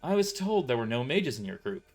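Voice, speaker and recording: A man playing a brash, animated mercenary woman. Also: mid-range voice, fairly quick, soft background music. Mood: exasperated. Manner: brash, casual about violence. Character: tough, sardonic young tomboy mercenary woman working as waitress. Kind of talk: amateur audio drama acting.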